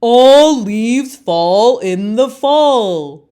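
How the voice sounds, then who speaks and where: Woman doing loud, drawling, taunting leaves. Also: fairly high pitch, lively intonation, clear, resonant tone. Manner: slow, loud, drawn-out taunting. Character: chorus of taunting, know-it-all older leaves. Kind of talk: children's storybook character voice.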